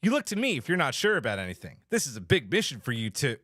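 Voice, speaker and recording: A man playing a self-important starship commander in an amateur table read of an animated sci-fi comedy script. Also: medium pitch, quick pace, dry recording, studio quality. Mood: commanding. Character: self-important male commander, easily cowed.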